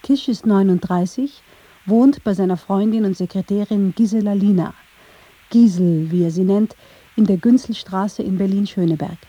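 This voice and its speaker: A woman narrating a radio documentary from a script in a measured storytelling style. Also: mid-range voice, dark tone, slightly rough, no echo, lo-fi sound.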